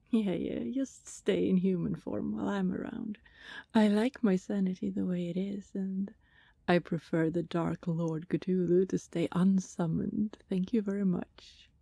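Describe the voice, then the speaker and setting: A woman playing a friendly, teasing vampire neighbour in an intimate ASMR roleplay, chatty and casual, with a soft breathy voice that often slips toward a whisper. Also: medium pitch, steady tone, unhurried, no echo, studio quality. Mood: playful, teasing. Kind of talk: ASMR roleplay, intimate one-sided conversation with listener.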